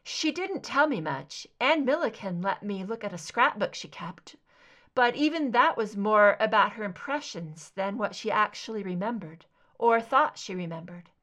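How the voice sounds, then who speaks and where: A woman voicing a skeptical, guarded female investigator in an audiobook, measured and matter-of-fact. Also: medium pitch, animated, a bit bright, natural voice. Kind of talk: fan fiction audiobook dialogue.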